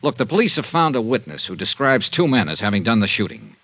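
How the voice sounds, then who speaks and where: An actor playing a cool, tough, persistent insurance investigator, dryly delivered in 1950s radio detective style. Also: male voice, on the low side, very animated, fast, fairly bright tone, heavily acted. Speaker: lead character and narrator. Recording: muffled 1950s radio recording.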